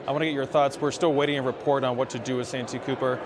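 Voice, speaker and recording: Guy reporter doing live interviews, talks really fast, polite and informal, noisy lobby behind him. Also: medium pitch, flat delivery. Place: large indoor statehouse lobby. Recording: noisy public lobby ambience.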